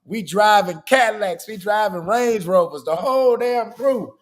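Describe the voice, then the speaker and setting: A man hosting a podcast in a casual, chatty way. His voice is hoarse and worn, and he keeps checking in with "okay?". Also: sits high, normal speed. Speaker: podcast host.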